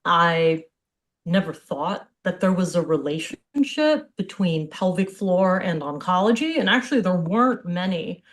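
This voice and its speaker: A woman's voice: on the low side, expressive, measured pace. A middle-aged woman with an American accent, a physical therapist giving an informal online presentation in an open, conversational way. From Hawaii, United States. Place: indoors on a video call.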